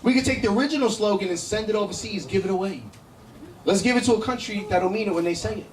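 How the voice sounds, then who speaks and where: High-pitched New York comic, echoey and distant. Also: male voice, fast talker, a little mellow. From Brooklyn, New York, United States. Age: middle-aged. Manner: brash, profane, conversational. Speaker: stand-up comedian. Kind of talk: live stand-up comedy with crowd banter. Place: indoor comedy show in a cafe. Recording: echoey live room, miked from a distance.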